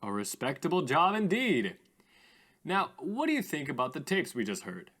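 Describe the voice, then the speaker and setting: A man playing a courteous, theatrical radio call-in host with formal, drawn-out greetings in a radio drama. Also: on the high side, wildly swooping pitch, a bit bright, full character voice. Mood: upbeat, courteous.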